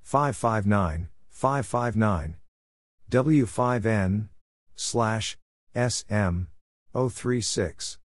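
A man with a fairly low voice reads out scripted radio exchanges for code practice. He takes a very unhurried pace, giving letters and numbers deliberately. The sound is dry and close, with no room on it. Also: no echo. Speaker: Morse code practice narrator.

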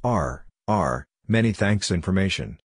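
A man with a low voice reads short scripted lesson phrases for Morse code practice. His delivery is slow and measured, each piece set apart from the next, in a dry recording with no room sound. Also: lively intonation, husky, dry recording.

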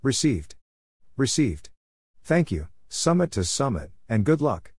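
A man narrating scripted radio exchanges for Morse code practice, reading in a measured way and spelling out call signs letter by letter. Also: medium pitch, big pitch swings, a little mellow, husky, no room sound.

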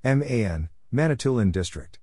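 A man's voice: mid-range voice, expressive, moderate pace, warm tone, husky, no echo. A man giving a scripted reading for code practice, calling out spelled letters and place names as separate short announcements. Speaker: Morse code practice instructor.

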